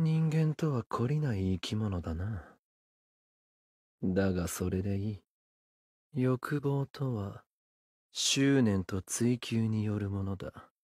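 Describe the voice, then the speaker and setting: A slow, haughty serpent god. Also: male voice, mid-range voice, a little mellow, dry recording, clean studio sound. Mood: lofty, imperious. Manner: haughty, deliberate, condescending. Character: arrogant, seductive serpent god who toys with human desires. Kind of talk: Japanese game character voice lines.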